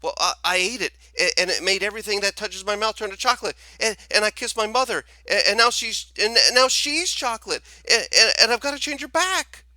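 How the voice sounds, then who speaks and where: A man's voice: high-pitched, expressive, fairly quick, hardly any pauses, pushed and bright, dry recording, voice pushed up, heavily acted. A man voicing a frantic young boy in a storybook, stammering and repeating his words as he pleads. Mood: panicked. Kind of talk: storybook character voice.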